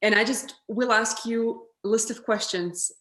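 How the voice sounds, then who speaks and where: A woman's voice: on the high side, a little slow, a bit bright, roomy sound. A woman hosting an interview, speaking in a friendly way with frequent restarts. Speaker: host. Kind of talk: casual interview.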